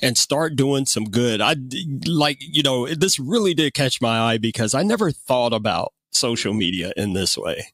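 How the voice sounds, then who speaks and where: A man's voice: medium pitch, expressive, moderate pace, few pauses, fairly bright tone, no echo. Casual, chuckling male podcast host, dry sound. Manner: jokey, chuckles often. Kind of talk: casual interview chat.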